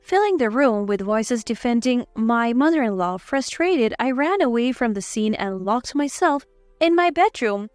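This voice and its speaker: Female narrator for scripted family drama, high smooth voice, dry close sound over music. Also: lively intonation, normal speed, no echo. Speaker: story narrator. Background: background music.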